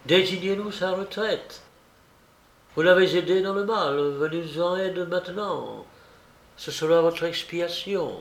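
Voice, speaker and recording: A man's voice: high voice, unhurried, roomy sound, pitched above the performer's own voice. A man voicing a commanding, cool-headed detective in an audiobook, with a clipped, formal manner. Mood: firm, pressing.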